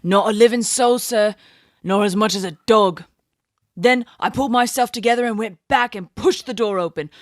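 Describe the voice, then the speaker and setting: A performer acts out a London beat constable from a dramatized storybook. The figure is working-class, superstitious and quick to take offence, rambling on in colloquial speech with dropped g's. The voice sits at a medium pitch in a dry recording space. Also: no room sound, lightly acted. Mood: nervous, amazed. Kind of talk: dramatized audiobook reading.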